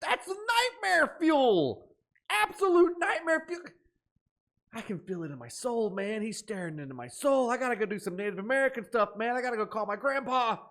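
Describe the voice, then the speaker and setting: A man with a high voice hosts a casual reaction video. His pitch swings widely and his manner is animated, with dramatic exclamations as he comments. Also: normal speed.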